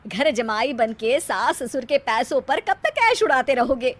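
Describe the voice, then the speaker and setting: A middle-aged cartoon mother acted by a woman, caring but gently reproving. She advises in a high, motherly voice. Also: big pitch swings, unhurried, heavily acted.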